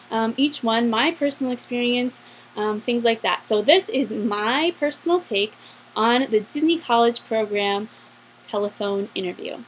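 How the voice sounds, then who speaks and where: A woman's voice: on the high side, slight background noise, low-quality recording. A young adult woman giving casual, upbeat advice in a chatty vlog style, stretching words for emphasis. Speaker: vlogger giving interview advice.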